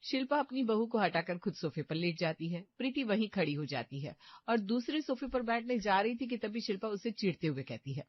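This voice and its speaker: A woman's voice: mid-range voice, very animated, a little fast, few pauses, dry recording, muffled recording. A woman narrator reading a scripted cartoon story.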